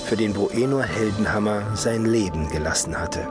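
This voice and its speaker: Grave male dark elf narrator acted by a man. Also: on the low side, moderate pace, loud music behind the voice, lightly acted. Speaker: narrator and lead character. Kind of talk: dramatic scripted narration in German fantasy audio drama.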